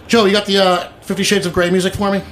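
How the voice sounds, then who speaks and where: A man's voice: high-pitched, flat delivery, fast, a bit bright, a little hiss or hum. A man hosting a casual studio chat show, joking and teasing his guests as he interviews them. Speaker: radio show host. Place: radio studio.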